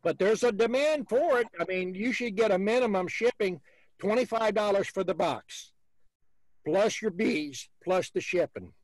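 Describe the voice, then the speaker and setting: A man's voice: high-pitched, animated, normal speed, no echo. A man, hosting a beekeeping video call and giving blunt, folksy advice. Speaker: beekeeper host.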